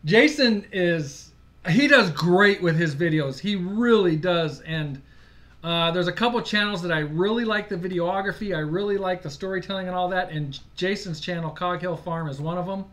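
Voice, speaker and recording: A man's voice: high voice, normal speed, a bit bright. Chatty man hosting a live stream. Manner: chatty, laughs easily, loses his place. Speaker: livestream co-host. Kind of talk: casual live-stream chat.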